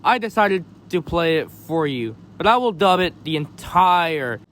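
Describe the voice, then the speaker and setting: A man hosting a casual explainer commentary, speaking in halting, choppy phrases. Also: on the high side, very animated, a bit bright, a little hiss or hum. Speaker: video host.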